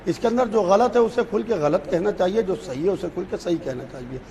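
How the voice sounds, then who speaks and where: Calm, deliberate cleric, man. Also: high voice, gravelly, a little hiss or hum. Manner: calm, deliberate, repeats affirmations. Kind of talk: measured TV debate statement.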